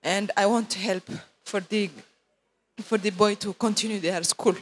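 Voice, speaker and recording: Soft, hesitant woman from Sweden. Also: mid-range voice, steady tone, normal speed, a little hiss or hum. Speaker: visitor pledging support. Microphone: handheld microphone.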